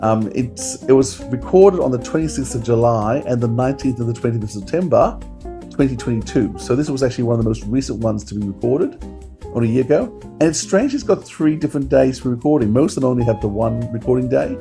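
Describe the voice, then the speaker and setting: A man's voice: mid-range voice, animated, a little fast, over music. A man co-hosting a podcast, giving information in casual, musing, discursive chat. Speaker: podcast co-host.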